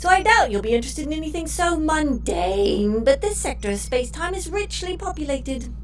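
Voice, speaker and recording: Pixie-like female ship's AI, very high, sarcastic, miffed, comedy audio drama, faint hum. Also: sing-song, fairly quick, recorded from a distance. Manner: sarcastic, petulant.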